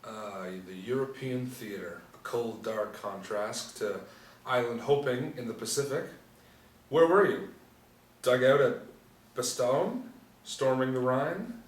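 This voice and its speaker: Know-it-all soldier, twenties, probing male actor. Medium pitch, table-read drama, roomy sound. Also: animated, takes it slowly. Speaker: actor at a script table reading. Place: indoor room, seated reading panel. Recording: roomy, distant mic, faint noise.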